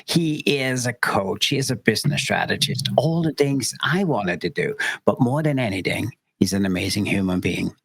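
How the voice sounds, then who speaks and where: A man's voice: on the low side, lively intonation, normal speed, vocal fry, hardly any pauses, fairly bright tone, breathy edge, dry recording. A man hosting a podcast interview, enthusiastic and a little rambling in his casual questions. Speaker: podcast host.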